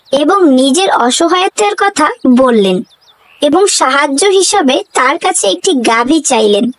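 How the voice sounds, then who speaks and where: A woman narrating a children's cartoon tale in a scripted storytelling style. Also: high-pitched.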